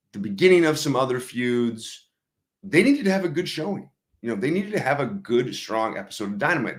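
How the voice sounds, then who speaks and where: A man hosting a live wrestling news show, chatty and upbeat, a bit hoarse from losing his voice. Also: mid-range voice. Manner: upbeat, chatty, many fillers. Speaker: host. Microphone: streaming setup mic.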